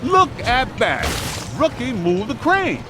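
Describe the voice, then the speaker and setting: Game role: practical, skeptical team member, plain-spoken, expressive range, clear music underneath. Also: male voice, extremely high pitch, takes it slowly, heavily acted. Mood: urging. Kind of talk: video game voice acting. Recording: music behind voice.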